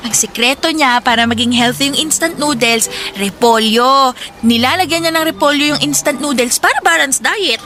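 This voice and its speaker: A woman's voice: sits high, moderate pace, bright, forceful tone. An actress playing a cheerful, love-struck young grocery worker in a radio drama, teasing and giggly as she chats. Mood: giddy in love. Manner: giggly, playful, chatty. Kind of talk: Tagalog radio love-story drama acting.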